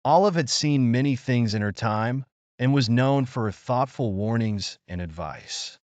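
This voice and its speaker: A man narrating a scripted children's story in an expressive, measured storytelling manner. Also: medium pitch, dry recording, dull audio.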